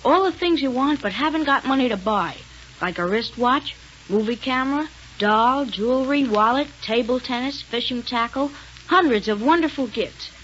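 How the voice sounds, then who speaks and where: High-voiced, peppy youngster, radio ad. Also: female voice, lively intonation, moderate pace, fairly bright tone. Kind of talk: 1930s radio commercial. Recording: muffled 1930s radio recording, faint noise.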